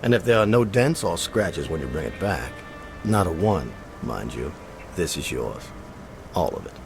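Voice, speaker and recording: An older military gentleman in a radio drama, courtly and sure of himself. The voice is low and creaky, with dry politeness. Music plays clearly behind. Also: very animated, moderate pace. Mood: courtly, assured. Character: genteel, self-assured older colonel, club gentleman.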